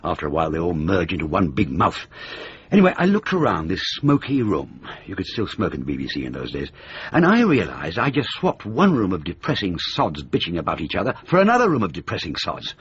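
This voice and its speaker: An actor playing a jaded ageing British comedy writer in a radio drama, wry, wordy and self-pitying, with a husky voice. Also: male voice, sits low, wildly swooping pitch, fairly quick, lo-fi sound, heavily acted. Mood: wry, rueful.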